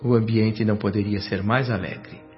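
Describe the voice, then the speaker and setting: A man's voice: fairly low pitch, fairly even intonation, normal speed, lightly acted. An actor playing a doctor who narrates his memories in a scripted Brazilian radio drama, in a reflective manner. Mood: serene. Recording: dull lo-fi, music underneath.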